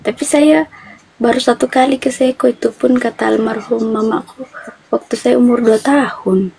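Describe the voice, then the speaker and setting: Woman streamer, high and teasing, faint noise under. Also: normal speed, vocal fry. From Toraja, South Sulawesi. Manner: teasing, chatty, laughs often. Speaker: live-stream host. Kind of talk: casual live-stream chat.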